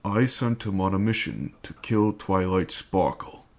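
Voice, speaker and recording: A man acting in an amateur dramatic reading as a scheming, menacing villain bent on conquest, speaking in a measured, formal, commanding way. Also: low voice, background noise. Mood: cold, calculating. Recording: music bed under the voice.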